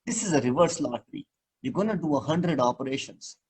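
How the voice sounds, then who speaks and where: A man with a husky, slightly breathy voice explains surgery patiently as an interview guest. He talks in a measured, teaching way and leans on simple comparisons to make a point clear. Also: medium pitch, lively intonation, a little mellow.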